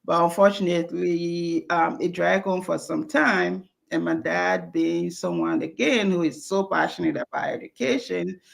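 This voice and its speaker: A woman's voice: fairly low pitch, fairly even intonation, moderate pace, creaky, few pauses. A woman from Liberia, telling her life story as an interview guest. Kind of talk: conversational interview.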